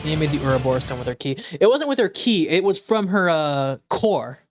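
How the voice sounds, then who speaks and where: A man's voice: fairly high pitch, sing-song, fairly quick, soft background music. A man streaming a game, chatting casually and thinking aloud in a rambling, hesitant way. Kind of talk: casual livestream chat while playing.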